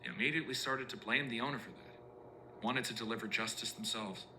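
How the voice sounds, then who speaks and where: An actor playing a self-assured, persuasive male official in a horror drama, speaking in a deliberate, theatrical and emphatic way. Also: mid-range voice, sharp tone, slight background noise, low-quality recording. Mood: explaining, wry. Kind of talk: scripted analog horror drama acting.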